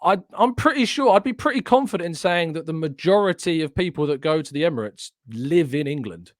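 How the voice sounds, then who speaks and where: A man's voice: fairly high pitch, lively intonation, a little fast, no room sound, clean studio sound. A male host arguing his point insistently in a heated debate. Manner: argumentative, insistent. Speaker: show host.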